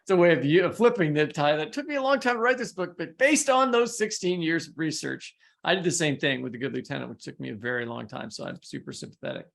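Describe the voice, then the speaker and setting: A man's voice: on the high side, expressive, quick pace, creaky, few pauses, fairly bright tone. A man co-hosting a podcast interview in an informal, encouraging way, often circling toward his point. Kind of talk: casual interview chat.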